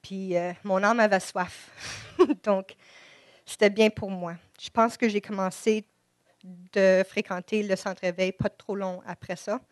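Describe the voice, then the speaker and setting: A woman with a Quebec French accent, English-speaking by upbringing, giving a halting, shy personal faith testimony at a church microphone. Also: mid-range voice, fairly even intonation, dry recording. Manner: halting, shy, many restarts. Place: church service. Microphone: microphone before an audience.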